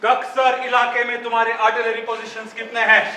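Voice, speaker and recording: A man's voice: very high voice, monotone, a little fast, sharp tone. A man playing a menacing, commanding army interrogator who threatens a prisoner in a stage play. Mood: threatening. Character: menacing male enemy military interrogator barking orders at a prisoner. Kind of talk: amateur stage play acting in Hindi. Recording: distant echoey stage recording, clear background noise.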